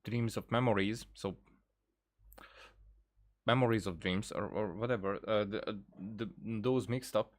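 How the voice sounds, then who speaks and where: Low-voiced man giving hesitant game commentary. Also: steady tone, measured pace, long pauses. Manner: hesitant, repeats words, thinks aloud. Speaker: gaming video creator. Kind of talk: casual, rambling game commentary.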